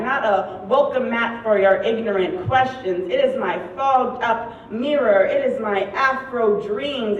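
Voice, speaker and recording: A young American woman delivers a poetic monologue slowly and deliberately, leaving pauses between phrases. She is heard from a distance in a roomy stage space, with faint noise in the recording. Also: on the high side, dull audio. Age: young adult. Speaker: student spoken-word performer. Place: stage in a large room.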